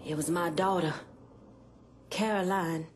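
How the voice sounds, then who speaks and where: A woman's voice: fairly low pitch, fairly even intonation, a little slow, close to an everyday voice. An actress playing a hardened, grieving mother in a game cutscene, terse, sombre and unyielding. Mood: pained recounting. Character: hardened, grieving mother turned fierce protector. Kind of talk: dramatic game cutscene acting. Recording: faint background noise and ambience.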